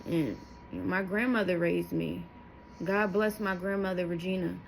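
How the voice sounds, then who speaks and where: A woman's voice: fairly low pitch, fairly even intonation, normal speed, vocal fry, slight background noise, low-quality recording. A woman of thirty telling her life story to her viewers, candid and emotional, rambling with asides as she goes. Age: 30s. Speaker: vlogger. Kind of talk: personal testimony to camera.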